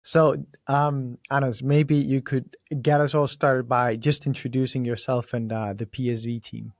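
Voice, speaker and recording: A man's voice: medium pitch, flat delivery, dry recording, clean studio sound. A young man with a Danish accent hosting a podcast, chatting casually and reading sponsor spots in an upbeat, jokey way. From Denmark. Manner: upbeat, jokey, fast-talking. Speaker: podcast host.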